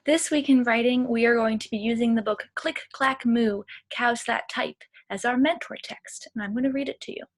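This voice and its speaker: A woman teacher giving an expressive, animated read-aloud for young children. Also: fairly high pitch, moderate pace, few pauses. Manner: expressive, clearly addressing children. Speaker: elementary school teacher.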